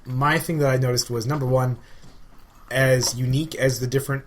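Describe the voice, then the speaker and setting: A man co-hosting a podcast, chatting casually about games and telling stories in an animated way. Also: mid-range voice, fairly quick. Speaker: podcast co-host. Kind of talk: casual gaming chat. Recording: clear background noise.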